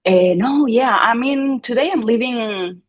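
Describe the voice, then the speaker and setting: Animated Chilean woman, husky and lo-fi. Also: on the high side, measured pace, no room sound. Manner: animated, quoting her own thoughts with gasps. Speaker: podcast guest. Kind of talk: conversational interview. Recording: dull lo-fi sound.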